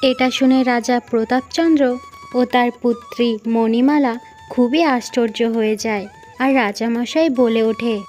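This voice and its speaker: Unhurried Indian Bengali woman storyteller. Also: fairly high pitch, steady tone, darkish tone, soft background music. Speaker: storyteller narrator. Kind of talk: scripted fairy-tale narration.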